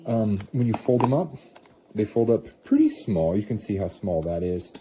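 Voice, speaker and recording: A man's voice: lowish voice, animated, normal speed, noisy background. A man giving a casual, off-the-cuff product review, rambling and second-guessing himself as he goes. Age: adult parent of a young child. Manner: rambling, self-questioning, hedging.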